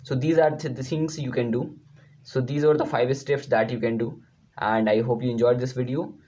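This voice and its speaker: A man with an Indian accent, a native Hindi speaker, gives an informal lesson to viewers as an online tutor, explaining in a halting, repetitive, emphatic way. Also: mid-range voice, fairly even intonation, mellow. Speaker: YouTube language tutor.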